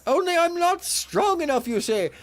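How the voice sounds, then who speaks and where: Fussy excitable old king, shrill and drawn out. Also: normal speed, soft background music. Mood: fussy excited.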